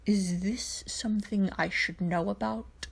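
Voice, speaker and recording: A woman voicing a weary old male alien emperor in a fan fiction reading, ominously calm, in a breathy, hissing whisper with measured phrasing. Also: mid-range voice. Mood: quiet, watchful.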